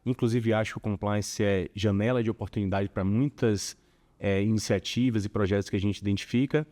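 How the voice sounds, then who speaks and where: A man from Bahia with a Bahian accent, chatting as a corporate guest in an interview, relaxed and full of small filler tags. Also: lowish voice, a little fast. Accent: Bahian Portuguese. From Salvador, Bahia, Brazil. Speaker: corporate tax director, guest. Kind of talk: casual interview conversation.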